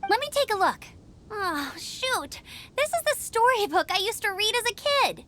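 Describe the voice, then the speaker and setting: Very high, perky teenage girl. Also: moderate pace, heavily acted. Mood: sheepish, embarrassed. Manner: perky, animated, earnest. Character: upbeat, bubbly teenage girl gliding champion and outrider. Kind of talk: video game voice acting.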